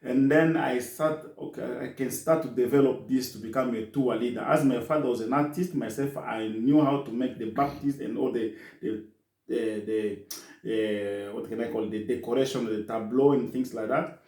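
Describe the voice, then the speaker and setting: A middle-aged man from Congo, a native French speaker, telling his life story in plain, halting English during an interview. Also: mid-range voice, a little mellow. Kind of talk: casual interview talk. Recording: echoey room.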